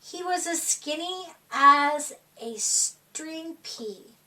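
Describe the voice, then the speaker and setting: A woman reading a children's picture book aloud in an expressive, dramatic storytime style, drawing out words for young listeners. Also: high voice, very slow, distant mic, rough recording.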